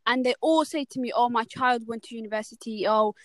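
A woman's voice: a little high, a little fast, no echo. A young woman in casual chat, emphatic and talkative, often checking in with questions. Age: young adult. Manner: chatty and emphatic, asks lots of questions. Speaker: student co-host.

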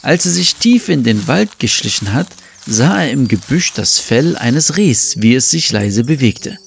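A man's voice: mid-range voice, lively intonation, unhurried, vocal fry, slight background noise. A man narrating a scripted fable as the storyteller of an audio story. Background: faint music.

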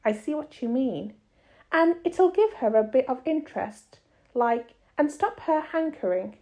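A woman voicing a steady, cautious working-class father in a storybook, gentle and measured, with homely ungrammatical speech. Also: high voice. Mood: conceding. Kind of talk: storybook read-aloud character voice.

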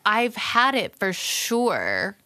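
A woman's voice: medium pitch, huge pitch swings, very slow, sharp tone, clean tone, no room sound. A woman from the United States, co-hosting a podcast in casual, chatty talk full of "like". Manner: chatty, frequent "like" fillers. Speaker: podcast co-host. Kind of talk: casual chat.

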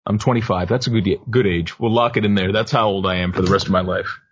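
Thirties male co-host, low husky voice, wry asides, lo-fi muffled recording. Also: fairly even intonation, quick pace. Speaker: podcast co-host. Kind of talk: casual chat. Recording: muffled lo-fi audio.

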